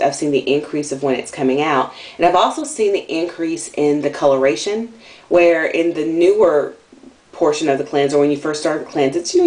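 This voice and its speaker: A young woman talking casually to camera in a video diary, rambling and candid, with lots of ums and likes and a slightly nasal voice. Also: low-pitched. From Atlanta area, US South. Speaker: vlogger.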